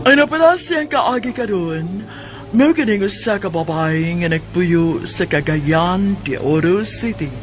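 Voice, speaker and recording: A man's voice: high-pitched, lively intonation, unhurried, background noise. A man with a Cebuano Filipino accent announcing a radio drama in a dramatic introductory style. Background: music.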